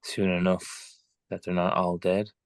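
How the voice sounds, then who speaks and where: A man playing a laid-back space commander in a live screenplay reading, with a gravelly voice. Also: low voice, flat delivery, unhurried. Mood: measured, dry. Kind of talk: live screenplay table read acting.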